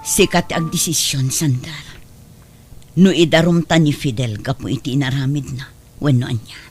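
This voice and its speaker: A woman's voice: extremely low pitch, steady tone, moderate pace, faint music behind. An actress playing a long-wronged, forgiving mother in a radio drama, speaking emotionally to her son. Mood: hurt, stern. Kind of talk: Ilocano radio drama acting.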